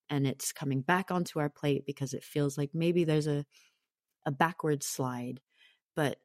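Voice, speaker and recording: A woman's voice: deep voice, darkish tone, dry recording, clean studio sound. A woman talking earnestly in a casual podcast conversation, thinking aloud and often repeating her words.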